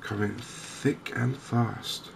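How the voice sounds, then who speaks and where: An English man hosts a cozy ASMR gaming video in a soft, low voice. He speaks very slowly and evenly, with a creaky edge. Ambient noise is clearly heard behind him. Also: fairly even intonation. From England. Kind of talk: soft-spoken ASMR game commentary.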